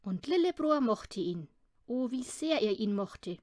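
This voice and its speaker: High-voiced, lively woman reading a storybook. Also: a little slow, no room sound, clean studio sound. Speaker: audiobook reader. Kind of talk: expressive storybook narration for children.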